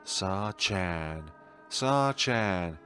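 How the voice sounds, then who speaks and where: A man doing an ominous, deep-voiced longing ghost. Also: animated, extremely slow, sharp tone, faint music behind, heavily acted. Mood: obsessive calling. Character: ominous shadowy ghost obsessively calling a lost loved one.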